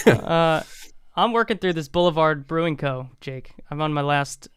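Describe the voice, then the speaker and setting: Smooth, crisp man hosting a podcast. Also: on the high side, animated, a bit bright, dry recording. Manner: upbeat, teasing, quick banter. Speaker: podcast co-host. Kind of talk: casual chat.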